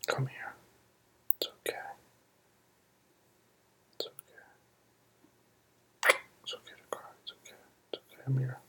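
A roleplay performer in a soft, soothing ASMR whisper, playing a comforting partner and repeating gentle reassurances. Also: a little slow, long pauses. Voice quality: breathy whisper.

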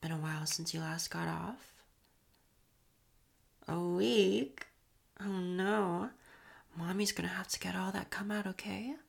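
A young adult woman performing an intimate audio roleplay in a soft, teasing whisper with breathy murmurs and quiet chuckles. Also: on the low side, measured pace, long pauses.

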